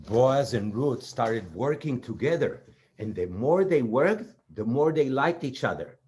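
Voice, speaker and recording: A man telling stories to children as a puppet show host, expressive, with simple repeated phrasing. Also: medium pitch, unhurried, slightly rough. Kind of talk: children's storytelling.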